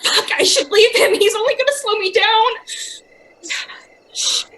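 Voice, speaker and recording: A woman playing a brash, mean young woman in a horror drama, with a sharp, snide delivery. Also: very high-pitched, measured pace, exaggerated voice. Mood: panicked. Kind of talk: dramatic voice acting.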